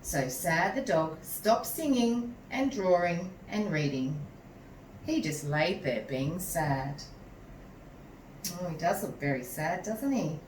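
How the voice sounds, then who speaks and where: A woman reads a children's story aloud like a teacher, slowly and with lots of expressive swing. She speaks to young listeners in a measured, animated manner. The recording is roomy, picked up at a distance from the microphone. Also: on the low side. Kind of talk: expressive picture-book read-aloud with asides to young listeners. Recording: roomy echo, distant mic, some noise.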